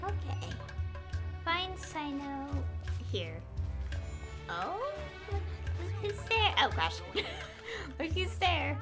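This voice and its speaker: A woman's voice: very high-pitched, huge pitch swings, extremely slow, pauses often. A woman streaming a video game, giving casual reactions as she plays. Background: game music.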